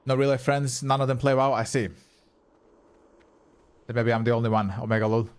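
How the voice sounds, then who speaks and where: A young man streaming a game, with Croatian as his first language. He chats loosely with his viewers, answers their questions as he plays, and swears casually. His voice sits at an ordinary middle pitch for a man. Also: fairly even intonation, fairly quick, clear, resonant tone. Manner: chatty, reads and answers chat, swears casually. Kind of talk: casual live-stream chat while gaming.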